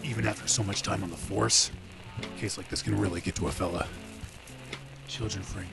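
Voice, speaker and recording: An actor playing a weary, hard-drinking, tenacious police detective in old-time radio style. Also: male voice, fairly low pitch, steady tone, vocal fry, over music, rough recording. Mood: weary, bitter. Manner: slow, heavy, world-weary. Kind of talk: 1940s radio acting.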